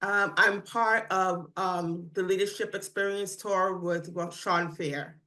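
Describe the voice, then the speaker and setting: A woman's voice: fairly low pitch, steady tone, slow, pushed and bright. A woman from New England introducing herself on a call, speaking hesitantly with many fillers. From New England, USA.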